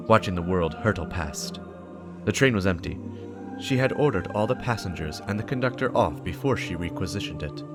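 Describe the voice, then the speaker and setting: A man giving a dramatic scripted narration of a story. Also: low-pitched. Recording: music behind the voice. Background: game soundtrack music.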